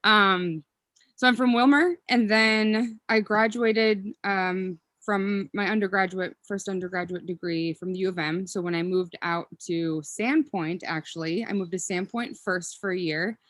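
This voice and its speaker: A woman's voice: medium pitch, steady tone, a little slow, a bit bright, no room sound. A woman in her thirties from Minnesota, chatting casually as a podcast guest, rambling with ums and self-corrections. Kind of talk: casual interview chat.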